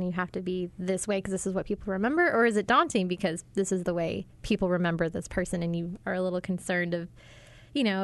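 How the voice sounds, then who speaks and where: A woman's voice: medium pitch, no echo. A woman in a mid range asks an actor long, winding questions with several parts. Her voice sounds dry and close, with no room around it. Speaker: interviewer. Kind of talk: interview question.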